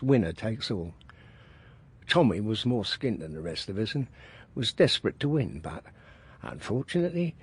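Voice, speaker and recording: An actor playing a boastful middle-aged working-class car dealer, reminiscing colloquially in a radio drama monologue. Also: male voice, medium pitch, darkish tone, husky. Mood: nostalgic, wry. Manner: colloquial, matter-of-fact storytelling.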